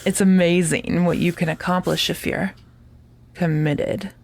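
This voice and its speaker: A woman's voice: fairly low pitch, steady tone, gravelly. A woman playing a deranged, possessive stalker in an audio drama, with a sweetly menacing, taunting delivery. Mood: gloating, teasing. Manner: cooing, taunting, deliberate.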